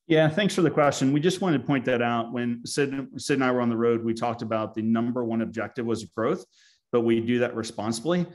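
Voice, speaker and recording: Male company executive, mid-pitched, answering questions on an earnings call. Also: a little fast.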